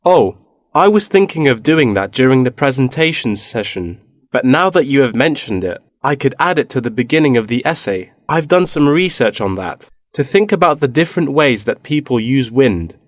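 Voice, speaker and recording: A man's voice: mid-range voice, big pitch swings, normal speed, dry recording, clean studio sound. A young man playing an earnest university student in a scripted dialogue, eagerly explaining his research. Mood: earnest, explanatory. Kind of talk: scripted listening-test dialogue.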